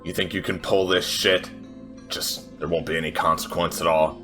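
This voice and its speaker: A man's voice: sits low, level tone, normal speed, music in the background. A man playing an angry, bloodthirsty lone-wolf fighter in a tabletop game, seething and confrontational. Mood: seething anger.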